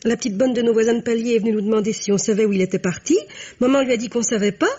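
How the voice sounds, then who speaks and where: A good-natured, naive woman in her thirties who chatters in a very high, slightly husky voice. She is gushing, plaintive and happy to mock herself. It is 1950s French radio drama, with a muffled vintage sound. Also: steady tone, rapid-fire, mellow. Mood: excited, confiding.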